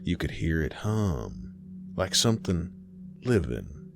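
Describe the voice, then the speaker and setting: A man telling a scripted horror tale as a folksy fireside storyteller and host, with dramatic pauses. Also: sits low, sing-song, creaky, over music.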